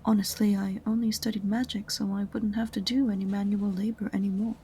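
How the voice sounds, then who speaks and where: A woman's voice: medium pitch, flat delivery, fairly quick, dark tone, breathy edge. A woman playing an aloof, sarcastic witch librarian in a fantasy audio roleplay, prim and condescending in a dry way. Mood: exasperated, dismissive. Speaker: voice actor in amateur audio roleplay.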